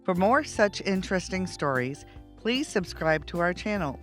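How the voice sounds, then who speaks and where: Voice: medium pitch, big pitch swings, moderate pace, soft background music. A storyteller reading a scripted children's bedtime story.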